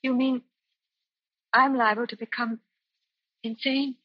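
An actress playing an anxious, high-strung young woman in an old radio suspense drama, insistent, halting and defensive. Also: flat delivery, no room sound. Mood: frightened, defensive. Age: 20s. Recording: muffled, dry old radio recording.

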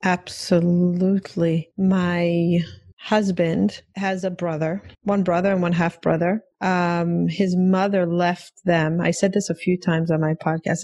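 A woman's voice: fairly low pitch, no room sound, lo-fi sound. Attentive woman podcast host, dry sound. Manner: attentive, frequent soft backchannels, storytelling. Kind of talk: interview conversation.

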